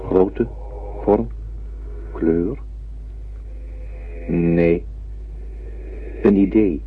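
An actor playing a huge old telepathic alien, gentle and wise, speaking in a measured, solemn way in a radio drama. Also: male voice, deep voice. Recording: narrow lo-fi old radio recording, faint noise.

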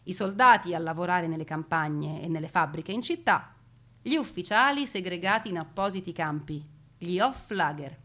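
A woman narrating a family wartime story as a theatrical monologue, with dramatic pauses and deliberate emphasis. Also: lowish voice, lively intonation, sharp tone. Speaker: stage narrator telling grandfather's wartime story.

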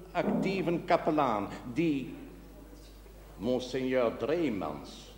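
Solemn slow-speaking pastor in echoing church. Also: male voice, mid-range voice. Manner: solemn, measured, rhetorical. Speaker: actor in a TV drama series. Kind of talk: formal sermon in television drama. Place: large reverberant indoor space. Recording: echoey church-like reverb with background noise.

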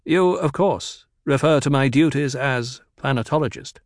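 A man's voice: lively intonation, normal speed, vocal fry, no room sound, clean studio sound. A man doing a stiff, guarded planetologist. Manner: clipped and cold, raised pitch. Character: stiff, guarded male planetologist, curt and proud. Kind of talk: audiobook character voice.

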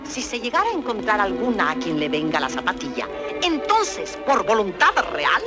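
A woman's voice: medium pitch, huge pitch swings, normal speed. An actress playing a haughty, domineering middle-aged stepmother in a children's audio fairy tale, with commanding, ceremonious speech. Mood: scheming, urgent. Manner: commanding, ceremonious formal address. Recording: loud music behind the voice.

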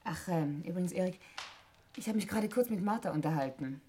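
Actress as cool teasing sister, faintly noisy recording. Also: female voice, on the low side, expressive, brisk, warm tone, close to an everyday voice. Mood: knowing, curious. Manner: ironic, probing, composed. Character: cool, teasing, shrewd young sister in murder mystery. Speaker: radio play actress. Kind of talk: 1960s German radio play acting. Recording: noisy 1960s radio recording with clicks.

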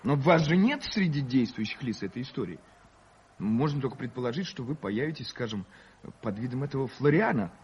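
Curious impatient listener, really raspy mid voice, muffled old radio. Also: male voice, mid-range voice, expressive, brisk, darkish tone. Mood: speculating. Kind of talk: radio play acting. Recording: dull old radio recording.

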